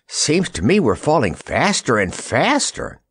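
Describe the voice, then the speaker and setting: A man's voice: medium pitch, wildly swooping pitch, unhurried, slightly rough, voice pushed up, exaggerated voice. A man voicing a curious young girl traveller in a storybook, calling out her questions. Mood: curious, urgent.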